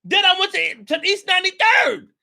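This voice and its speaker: A man delivers a passionate monologue on his own show. His voice sits very high and cuts sharply, and he hammers his points home with repetition and bursts of shouting. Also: sing-song, moderate pace, gravelly. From New York. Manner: emphatic, repetitive, rising to shouts. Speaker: show host. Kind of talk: impassioned commentary monologue.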